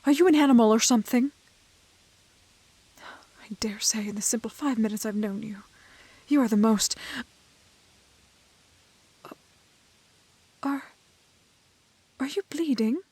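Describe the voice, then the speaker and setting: A woman playing a prim, plucky, kind-hearted young woman in a fairy-tale roleplay, with soft voice and proper, old-fashioned phrasing. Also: fairly high pitch, unhurried, pauses often, slightly rough, no echo. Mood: indignant, scolding. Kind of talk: romantic fantasy roleplay audio acting.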